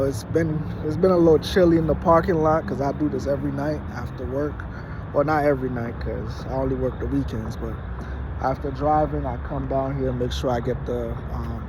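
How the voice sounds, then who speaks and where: A man in his late twenties, born in Haiti, talking casually to his phone in a vlog with many fillers and restarts. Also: mid-range voice. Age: late 20s. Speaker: vlogger. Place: parking lot at night, outdoors. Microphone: phone propped against a wall. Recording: noisy outdoor phone recording. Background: traffic and car noise.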